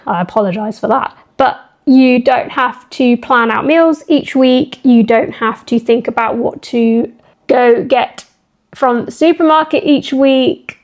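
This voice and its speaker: A woman with a slightly croaky, husky voice, chatting upbeat and rambling in a casual fitness vlog. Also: on the high side. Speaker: fitness vlogger and coach. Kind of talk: casual talking-to-camera vlog.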